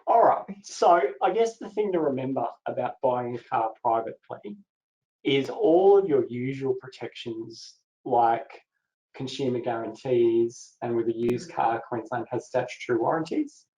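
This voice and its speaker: A man's voice: mid-range voice, expressive, normal speed, a little mellow. A man co-presenting a legal webinar, explaining points steadily and steering the session. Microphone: video call. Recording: dull echoey webinar audio.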